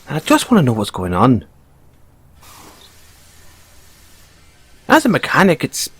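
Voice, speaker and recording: A man voicing a polite, inquisitive Irish bunny-boy car mechanic in an audio roleplay, with an Irish accent and a gently probing, wryly understated, hesitant way of talking. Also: mid-range voice, husky.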